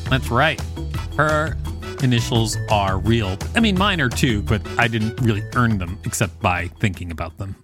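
Guy podcast host reading an article out loud, pitch moves around a lot, jokey asides, faint music behind. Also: animated, moderate pace. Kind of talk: reading an encyclopedia article with casual commentary.

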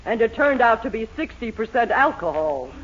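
A woman's voice: a little high, big pitch swings, moderate pace. An actress playing a domineering, melodramatic middle-aged wife and mother in a 1940s radio sitcom. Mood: suspicious. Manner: domineering, scolding, theatrical. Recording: noisy, muffled 1940s radio recording.

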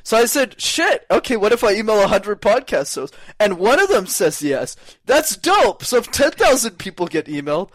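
A young man talking as a speaking coach in a podcast conversation, energetic and acting out quoted voices, with casual words like "man" and "brother". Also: high-pitched, moderate pace, few pauses, no room sound. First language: not English; speaks French as second language.

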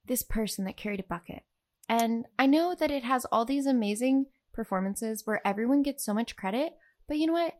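High-voiced woman in animated podcast chat. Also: brisk. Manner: animated, giggly, acts out quotes. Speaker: podcast co-host. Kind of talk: casual, gushing film chat.

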